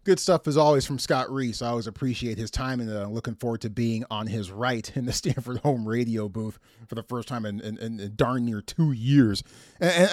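A man's voice: mid-range voice, dark tone, no room sound, studio quality. A man hosting a sports talk podcast, chatting conversationally and repeating words for emphasis. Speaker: podcast host.

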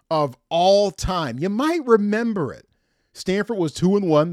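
A man's voice: a little high, no room sound, studio quality. A middle-aged man hosting a sports podcast, telling game stories with enthusiastic, emphatic, conversational commentary.

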